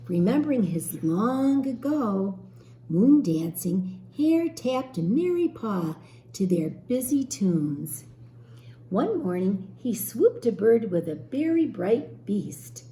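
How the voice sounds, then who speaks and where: A woman reads a picture book to children like a teacher, with lively pitch and an unhurried pace. She stops to explain and question. The room is echoey. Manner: questioning, explains words to young listeners. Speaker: teacher reading a storybook aloud. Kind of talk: expressive children's read-aloud with explanatory asides. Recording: roomy echo, faint background noise.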